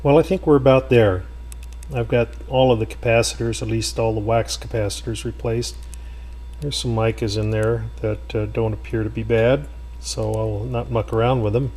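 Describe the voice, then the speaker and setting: A man restoring an old radio, talking casually to himself with lots of pauses and fillers. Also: medium pitch. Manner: relaxed, thinking aloud with many fillers. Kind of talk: casual workshop narration.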